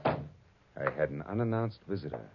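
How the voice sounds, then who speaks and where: An American actor playing a tough, wisecracking private detective in 1940s radio drama, wry, clipped and sardonic. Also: male voice, rumbling low, expressive, moderate pace, noisy background. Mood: wry, matter-of-fact. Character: tough, wisecracking male private detective narrating his own case. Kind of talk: 1940s radio drama acting, hard-boiled first-person narration. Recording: muffled vintage radio recording with music.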